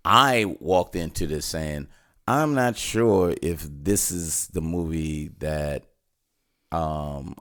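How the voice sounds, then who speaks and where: A man with a gravelly voice co-hosting a casual movie review, speaking in a halting, hesitant way. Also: extremely low pitch. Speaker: co-host of a movie review show.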